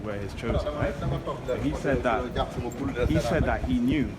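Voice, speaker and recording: A man's voice: mid-range voice, unhurried, a loud crowd. A man chatting casually as a street evangelist. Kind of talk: casual chat and street preaching. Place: outdoors on a city street. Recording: loud noisy outdoor city street.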